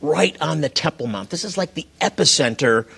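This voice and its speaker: Slightly husky American male TV host. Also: fairly high pitch, animated, brisk, a bit bright. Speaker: TV talk show host.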